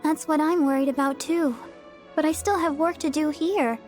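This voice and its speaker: A woman's voice: high-pitched, steady tone, normal speed, lightly acted. An actress playing a cheerful, caring young female church deaconess with a sweet manner. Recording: game audio with faint music.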